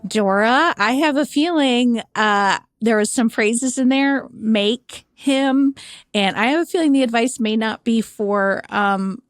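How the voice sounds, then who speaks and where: A woman's voice: on the high side, slow, no room sound, studio quality, faint music behind. A woman in her fifties hosting an advice podcast, chatting casually with lots of fillers and a self-deprecating manner. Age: 50s. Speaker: podcast host.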